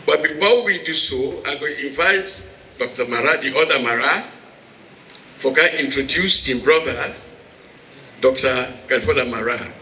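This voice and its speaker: Sharp, forceful Sierra Leonean man, echoing. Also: mid-range voice, measured pace. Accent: Sierra Leonean Krio. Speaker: meeting moderator. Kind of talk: informal welcome speech to a gathering. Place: hall at a town hall meeting. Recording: echoey hall with background noise.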